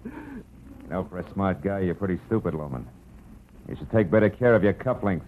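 An actor playing a tough, wisecracking private detective in a clipped, sardonic 1940s radio style. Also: male voice, low-pitched, huge pitch swings, normal speed, slight background noise. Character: wry, tough male private detective narrating his case. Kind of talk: 1940s radio detective acting and hard-boiled narration. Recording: dull, muffled old radio recording with music.

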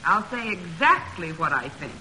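A woman's voice: lowish voice, very animated, moderate pace, pushed and bright, heavily acted. An actress playing a blunt, breezy woman artist who calls out heartily, in a classic radio drama. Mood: blunt, hearty. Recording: noisy reverberant old radio recording.

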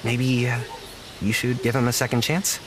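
A man performs an earnest young man in an audio drama, soft-spoken and unsure of himself. He stammers and apologizes his way through sentences in a medium-pitched voice. There is clear ambient noise behind him. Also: level tone, normal speed, natural voice. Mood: shy, lighter. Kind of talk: naturalistic audio drama dialogue.

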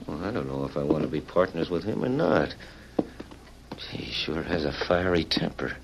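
A man's voice: extremely low pitch, expressive, normal speed, vocal fry, heavily acted. A man playing an earnest, troubled young cowboy in an old radio western, with hesitant, sincere folksy speech. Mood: uneasy. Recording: old radio recording with faint hiss.

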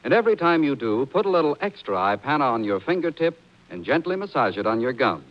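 A man's voice: mid-range voice, big pitch swings, fast. A man reading scripted 1940s radio advertising as a commercial announcer. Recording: muffled 1940s radio broadcast.

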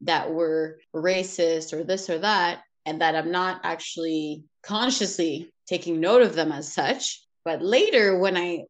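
A woman with a slightly low voice speaks as an interview guest, sharing her own experiences. She talks in a candid, reflective way and laughs easily between her thoughts. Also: measured pace, few pauses. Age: adult, mother of two daughters. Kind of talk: personal conversational storytelling.

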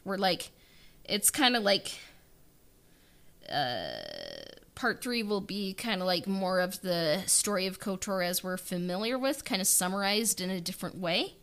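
Very bright, unhurried woman podcaster. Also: mid-range voice, lively intonation. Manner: halting, thinking aloud in fragments. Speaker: podcast co-host. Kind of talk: casual behind-the-scenes podcast chat.

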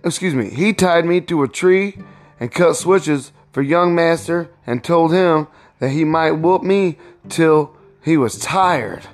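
A man's voice: on the high side, takes it slowly, soft background music, pitched above the performer's own voice. A man reading a storybook, voicing a proud, indignant young enslaved husband in an emphatic, aggrieved way. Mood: aggrieved, angry. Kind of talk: storybook read-aloud.

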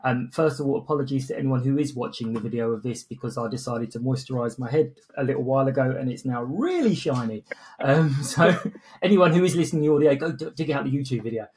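A man's voice: mid-range voice, fast, darkish tone. A man hosting a podcast in a casual, jokey, self-deprecating way. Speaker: podcast host. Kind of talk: casual interview chat.

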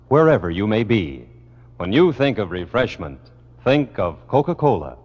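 A man's voice: medium pitch, muffled recording. An American man announcing on 1950s radio, reading sponsor messages in a polished, formal salesman's manner. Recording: band-limited vintage radio broadcast, music behind.

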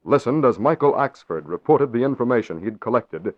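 A man's voice: mid-range voice, expressive, fairly quick. A male announcer and narrator of an old radio show, delivering sponsor lines and narration in an emphatic, declamatory way. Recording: muffled old radio broadcast.

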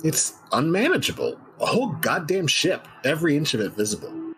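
A man's voice: on the high side, huge pitch swings, a little fast, fairly bright tone, husky, faint music behind, pitched above the performer's own voice, exaggerated voice, low-quality recording. A man playing an unnerved, obsessed interview subject in a dramatic reading, anxious and emphatic. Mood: overwhelmed unease.

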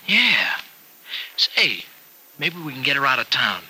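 An earnest American farmer, bright forceful voice. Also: male voice, mid-range voice, wildly swooping pitch, slow pace, breathy edge, heavily acted, rough recording. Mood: earnest, hopeful. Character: earnest, generous farmer, anxious for an injured friend. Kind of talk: old-time radio soap opera acting. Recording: vintage radio drama recording.

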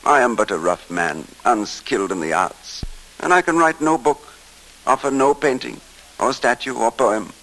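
A man's voice: mid-range voice, expressive, moderate pace, a bit bright, rough recording. A man voicing a humble, simple juggler who pleads earnestly and self-effacingly in an old radio story, with music underneath. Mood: sad, self-pitying.

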